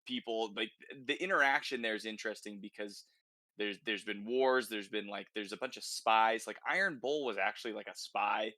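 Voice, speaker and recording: A man's voice: on the low side, moderate pace, bright, forceful tone, dry recording. A man hosting a casual gaming podcast, talking informally and thinking aloud as he goes. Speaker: podcast host.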